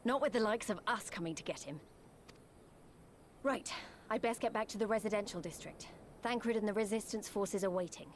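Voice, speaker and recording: A woman's voice: mid-range voice, normal speed, a little hiss or hum, lightly acted. An actress playing a confident, spirited young female fighter in a video game, speaking informally. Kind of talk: video game dialogue acting.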